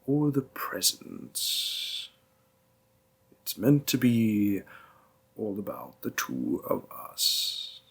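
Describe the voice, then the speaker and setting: Demon boyfriend for intimate audio roleplay, played by a man: tender, courtly and teasing, very unhurried, with a husky breathy edge. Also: medium pitch, full character voice. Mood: tender, earnest. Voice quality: breathy, gravelly, half-whispered. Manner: formal courtly phrasing, softly coaxing. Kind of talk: roleplay audio, intimate second-person acting.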